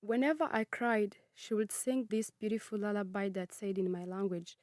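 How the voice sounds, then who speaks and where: A nineteen-year-old woman from Congo who grew up in Kenya, reading her personal narrative in a measured, earnest way. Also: medium pitch, fairly even intonation, a little mellow, dry recording, clean studio sound. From Congo, raised in Kenya. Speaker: student narrator.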